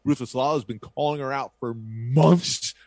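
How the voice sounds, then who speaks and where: A man's voice: medium pitch, moderate pace, darkish tone, no room sound. A man co-hosting a podcast in casual chat, talking with eager hype about upcoming events. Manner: enthusiastic hype-style promo delivery. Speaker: podcast co-host.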